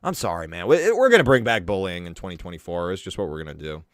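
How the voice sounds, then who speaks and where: A young man with a deep voice giving crude, mocking commentary and swearing freely. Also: big pitch swings, quick pace, no room sound, clean studio sound. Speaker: commentary streamer. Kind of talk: casual drama commentary.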